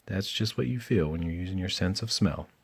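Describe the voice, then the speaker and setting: A man's voice: low-pitched, brisk, husky. Cheerful, helpful young raccoon, read by a man. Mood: explaining. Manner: cheerful, explanatory.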